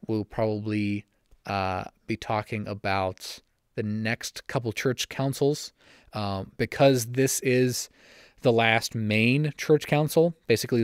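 A young man hosting a solo church-history podcast from home, slightly raspy and talking fast with frequent self-corrections. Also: on the low side, no echo, studio quality. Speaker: podcast host. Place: home room.

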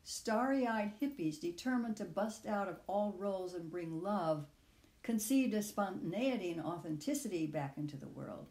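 An older woman reading her own personal essay aloud in measured, deliberate phrases. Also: mid-range voice, animated, a little mellow, echoey room.

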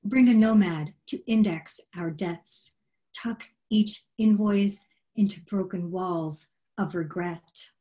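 Slow, mellow woman reading poetry. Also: medium pitch, low-quality recording. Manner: measured, deliberate, solemn delivery. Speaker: poet reading her work. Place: small room at home, on a video call. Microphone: computer microphone on a video call.

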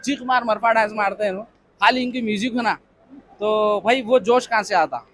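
Hyderabadi man in his thirties fronting a vlog, high-voiced and playful, handheld mic. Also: a bit bright, a little hiss or hum. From Hyderabad, India. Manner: jokey, teasing, quick to laugh. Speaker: vlogger host. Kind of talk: casual vlog chat. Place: crowded indoor expo hall and outdoor food stall.

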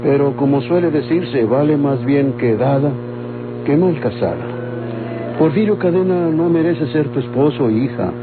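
An actor with a Mexican accent playing a grave, protective older father in a radio drama, deliberate and folksy. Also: a little high, close to an everyday voice. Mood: grave, disapproving. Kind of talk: golden-age Mexican radio drama acting. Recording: tinny old radio recording, music behind.

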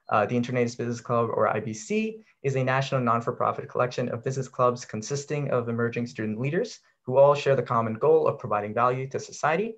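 A man's voice: medium pitch, a bit bright. A young man, a student host giving a formal, polite prepared introduction on a video call. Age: teens to young adult. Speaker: student club president, host. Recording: dull lo-fi video-call audio.

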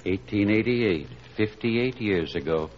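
A man's voice: on the low side, a little slow, a little mellow, natural voice. An actor playing a genial, modest middle-aged family man in 1940s radio drama style. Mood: easygoing. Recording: muffled, noisy 1940s radio recording.

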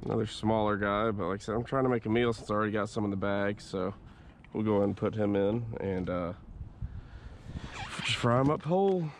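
A man's voice: lowish voice, a little fast, slight background noise. A man talking casually through his fishing trip from a kayak on a swampy creek. He records on a head-mounted action camera and swears freely as he goes. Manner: relaxed, chatty, swears freely. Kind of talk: casual narration while fishing.

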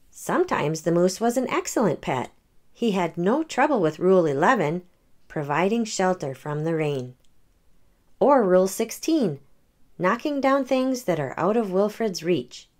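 A woman hosting a children's read-aloud, narrating a picture book in an expressive storytelling manner. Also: on the low side.

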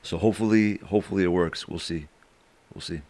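A man in his thirties talking with his stream chat and freestyle rapping into a handheld mic in a small bedroom studio, chatty and quick with rhymes. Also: low-pitched, a little mellow. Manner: chatty, self-correcting, rhymes fast over a beat. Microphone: handheld mic held close. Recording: hip hop beat playing underneath. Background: hip hop beat.

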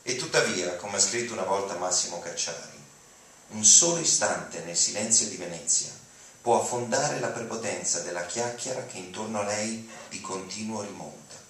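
A man's voice: lowish voice, expressive, moderate pace, fairly bright tone. A young man giving a dramatic reading of written text at an auditorium podium. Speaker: reader. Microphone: podium mic, recorded from audience. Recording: very echoey hall, distant, noisy.

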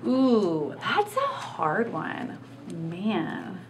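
A woman's voice: mid-range voice, huge pitch swings, extremely slow, slight background noise. A woman giving casual, playful answers in an interview. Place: indoor school office. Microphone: camera mic from across desk.

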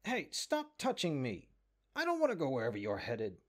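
Man voicing an exasperated, animated talking spellbook. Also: medium pitch, moderate pace. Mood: panicked, indignant. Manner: condescending, sarcastic, dramatically exasperated. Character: exasperated, haughty talking cursed grimoire, a sentient magic book. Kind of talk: comedic character audio roleplay.

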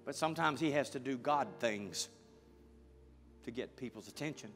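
A middle-aged male pastor preaching and praying fervently before his congregation, building to loud prayer. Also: mid-range voice, normal speed. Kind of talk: sermon and prayer. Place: large church sanctuary with congregation. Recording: church hall with music behind.

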